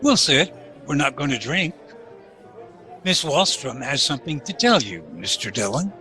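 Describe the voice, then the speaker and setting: An actor playing an earnest, lovestruck Old West deputy with folksy, deferential manners in a radio western. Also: male voice, mid-range voice, very animated, normal speed, sharp tone. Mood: earnest, dutiful. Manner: folksy, deferential, formal address. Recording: music and crowd murmur behind.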